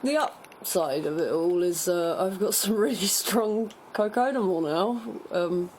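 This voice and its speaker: A woman co-hosting a casual radio chat in a halting, sardonic manner. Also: lowish voice, darkish tone, slight background noise. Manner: hesitant, sardonic, sweary. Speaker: radio show co-host.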